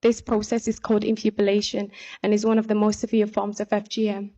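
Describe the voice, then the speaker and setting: A young woman from Somalia giving an earnest, measured speech as a pageant contestant from a podium microphone in a large hall. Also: mid-range voice, monotone, dark tone, lo-fi sound. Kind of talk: prepared stage speech. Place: large indoor hall on stage. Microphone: podium microphone on stand.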